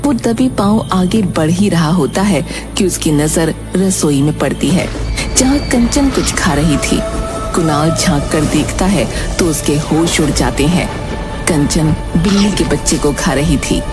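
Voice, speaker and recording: A woman narrates a dramatic scripted story. Her voice sits fairly low, and background music plays clearly beneath it. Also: lively intonation, unhurried.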